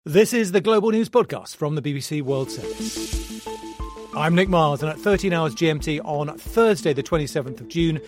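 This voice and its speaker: A man's voice: a little high, expressive, moderate pace, over music. A man presenting a news podcast, reading scripted headlines and introductions.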